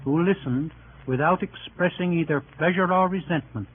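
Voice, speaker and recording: A man's voice: a little high, moderate pace, lightly acted. A man narrating Roman history soberly as the scripted narrator of a radio drama. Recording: tinny old radio recording, faint noise.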